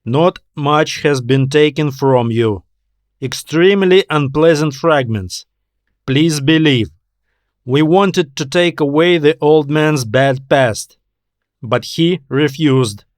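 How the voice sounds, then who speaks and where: A man's voice: on the high side, takes it slowly, no room sound, clean studio sound. A man voicing a terse alien reptiloid trader who bargains in clipped, formal fragments in a science fiction story. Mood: measured, pleading.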